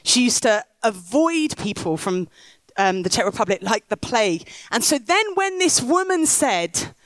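Woman, preacher, slow and measured, dry close sound. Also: a little high, dry recording, poor audio. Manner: lively storyteller, rousing the crowd. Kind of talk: sermon with storytelling. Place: stage in a big top tent.

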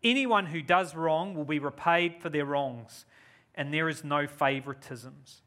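Kiwi guy in his 40s preaching at church, bit high voice, super enthusiastic and effusive. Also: measured pace, bright, forceful tone. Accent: New Zealand. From New Zealand. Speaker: guest preacher. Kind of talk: live church sermon and prayer. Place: church service.

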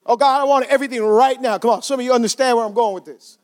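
Man, pastor, very high voice, sermon, conversational, prompts the congregation. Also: quick pace. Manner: conversational, asks the congregation for responses. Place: church service.

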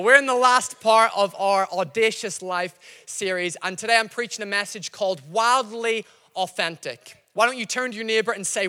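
A man's voice: sits high, clean tone, long reverb tail. A man from Northern Ireland with a slightly Scottish-tinged Northern Irish accent, preaching a sermon and telling stories straight to his congregation. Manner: lively storytelling, addresses the congregation directly. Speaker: pastor.

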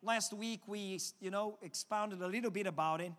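High-voiced Portuguese-accented preacher. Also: male voice, normal speed, a bit bright. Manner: earnest, repeats and restarts words. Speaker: pastor. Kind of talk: sermon. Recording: long hall reverb.

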